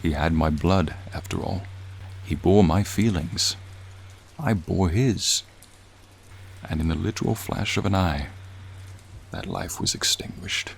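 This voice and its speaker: A man playing a gentle, wistful, courtly dragon in a soothing ASMR roleplay, speaking formally and tenderly. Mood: wistful, reverent. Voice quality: low, creaky, soothing. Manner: slow, formal, tender, reflective storytelling. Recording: faint rain noise behind. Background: rain ambience.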